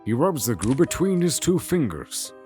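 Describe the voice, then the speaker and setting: A man's voice: mid-range voice, sing-song, normal speed, warm tone, soft background music. A man narrating a scripted fantasy audio drama in the present tense.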